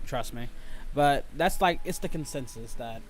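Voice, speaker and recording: A man's voice: mid-range voice, expressive, moderate pace, slight background noise. A man hosting a livestream, chatting casually and bantering bluntly into a streaming microphone. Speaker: livestream host.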